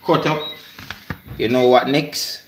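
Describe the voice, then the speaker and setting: A man's voice: medium pitch, steady tone, takes it slowly. A young man with a Jamaican accent, bantering casually as he cooks, picked up by a phone in a home kitchen. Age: young adult. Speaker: home cook. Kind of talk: casual banter while cooking. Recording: echoey noisy kitchen phone recording.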